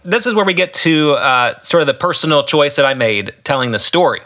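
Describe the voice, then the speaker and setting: A man's voice: on the high side, expressive, a little fast, pushed and bright. A man with an American accent giving conversational commentary on his own story as a podcast host and author, with emphatic, storyteller-like phrasing. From West Michigan, United States.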